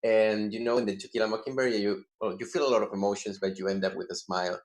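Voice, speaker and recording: A man's voice: lowish voice, steady tone, fast. A man giving his opinion casually in a book discussion on a video call, often searching for words. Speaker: book club participant.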